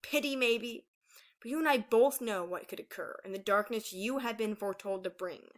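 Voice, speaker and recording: A woman's voice: a little high, moderate pace, natural voice. Woman acting out an old female dragon villain, cold, gloating, grandiose, taunting, fantasy storybook. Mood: cold, threatening.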